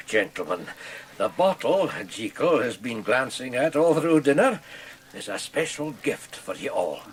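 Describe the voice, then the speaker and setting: An older, convivial Scottish gentleman in a radio drama. He speaks slowly and grandly, savouring his words with animated rises and falls, over slight background noise. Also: fairly high pitch. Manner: florid, relishing, unhurried. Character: convivial, florid old Scottish gentleman, proud wine host.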